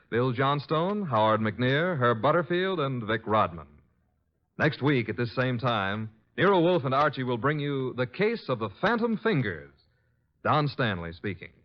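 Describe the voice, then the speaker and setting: Over faint music, a male announcer delivers a mid-century radio sign-off on a dull old recording. His mid-range voice moves with very lively, formal broadcast inflection. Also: mid-range voice. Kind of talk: 1940s-50s radio closing announcement.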